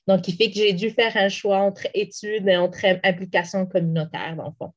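Woman, young adult, from Morocco, Arabic native, interview guest, earnest, halting restarts. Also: mid-range voice, steady tone. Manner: earnest, many restarts and fillers. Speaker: interview guest, community activist. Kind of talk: interview answers.

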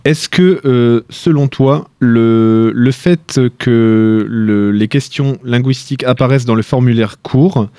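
A man hosting a radio interview, asking measured questions with many hesitations. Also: mid-range voice, warm tone, clear, resonant tone, no room sound.

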